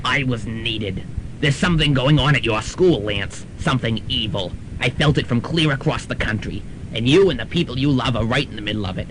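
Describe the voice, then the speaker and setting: A performer voicing a chatty, overfamiliar middle-aged psychic aunt in a student radio drama. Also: male voice, medium pitch, fairly quick, slight background noise, natural voice, rough recording. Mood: ominous. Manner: chatty, overfamiliar, then ominous.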